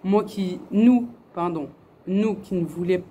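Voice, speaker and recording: A woman's voice: fairly low pitch, slow pace, mellow, slight background noise. A middle-aged woman from France, chatting earnestly to camera and answering viewers' questions, with many fillers and restarts. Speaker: family vlogger. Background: faint outdoor ambience.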